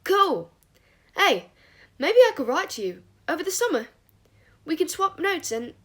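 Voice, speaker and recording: A woman acts out a cheerful teenage schoolboy in a storybook. The voice is lifted high and lands loud and bright with eagerness, relentlessly upbeat and keen to chat. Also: big pitch swings, unhurried, heavily acted. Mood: eager, chirpy. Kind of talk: acted audiobook dialogue.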